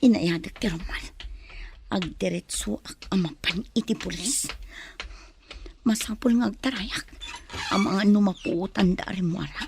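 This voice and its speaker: An actress playing a frightened captive woman in a radio drama, speaking in hushed, breathy whispers with a Filipino accent. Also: medium pitch, unhurried, pauses often, background noise, close to an everyday voice. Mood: urgent whisper. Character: frightened, injured captive young woman plotting escape.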